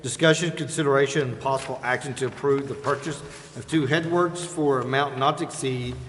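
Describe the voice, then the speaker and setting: A man chairing a formal meeting as mayor, brisk and businesslike. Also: medium pitch, fairly even intonation, a bit bright. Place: indoor council chamber. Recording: echoey council chamber, clearly noisy.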